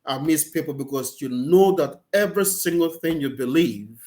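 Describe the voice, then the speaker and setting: A middle-aged Nigerian-accented man speaks in a fairly high voice for a man, heard over a video call from a small room. He pauses and repeats words while he looks for the right phrasing, in an easy conversational way. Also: animated, a little mellow. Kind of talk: casual interview conversation. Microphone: video call, laptop or webcam.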